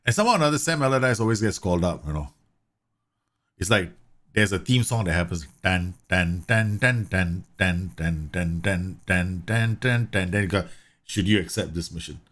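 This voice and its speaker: Male streamer from Singapore, slightly low-pitched, relaxed running commentary. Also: normal speed. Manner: chatty, laughs often, reads game messages aloud. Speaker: gaming streamer. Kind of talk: casual live game commentary.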